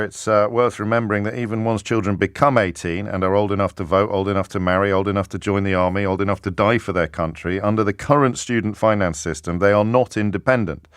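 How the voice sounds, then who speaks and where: A man's voice: lowish voice, dry recording. A man hosting a radio phone-in, talking in a chatty, wry and fluent way from a studio microphone. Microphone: studio microphone, close and dry.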